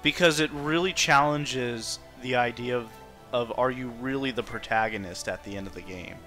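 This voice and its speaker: A man's voice: medium pitch, normal speed. A man giving rambling, informal playthrough commentary as a game streamer, with game music behind him.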